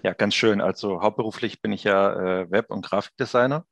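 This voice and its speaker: A man's voice: lowish voice, fairly quick, vocal fry, a bit bright, dry recording. A man in his thirties chatting casually as an interview guest over a headset. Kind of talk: casual chat. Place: indoor office room, video call.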